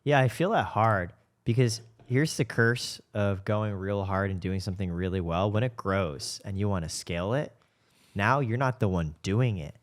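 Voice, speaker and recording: A man's voice: lowish voice, normal speed. A man talking candidly and casually as a podcast host, reflective and given to rhetorical questions. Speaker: show host and company co-founder.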